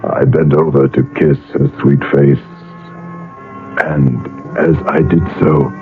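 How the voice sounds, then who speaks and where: An actor playing an anguished middle-aged father in a radio drama, speaking and narrating with slow, intense deliberation. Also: rumbling low, level tone. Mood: grave, suspenseful. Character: anguished father Max, brooding, guilt-ridden, driven to violence. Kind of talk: radio drama acting and first-person narration. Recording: muffled phone-like old radio, faint music.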